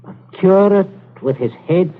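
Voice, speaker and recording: A man's voice: high voice, expressive, measured pace. Domineering father in tinny radio thriller. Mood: brisk, scheming. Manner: stammers and chuckles when feigning casualness. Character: domineering middle-aged father, coldly calculating, falsely jovial. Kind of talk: old-time radio thriller acting. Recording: muffled phone-like radio recording, noisy.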